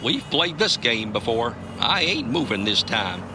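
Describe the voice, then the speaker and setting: A man's voice: medium pitch, big pitch swings, unhurried. A man playing a cocky, folksy traveling mystery hero with breezy slang in a comedy audio drama. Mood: defiant. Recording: clear car and road noise.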